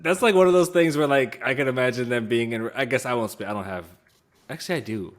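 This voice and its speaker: A man's voice: mid-range voice, fast talker. A man co-hosting a podcast with casual, joking banter, slangy and profane, riffing and teasing. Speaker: podcast co-host.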